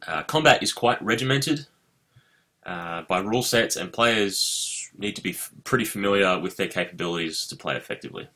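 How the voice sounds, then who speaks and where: A man who works as a warehouse manager, giving a measured explanation in an interview with occasional uh fillers. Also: sits low, lively intonation, bright, forceful tone.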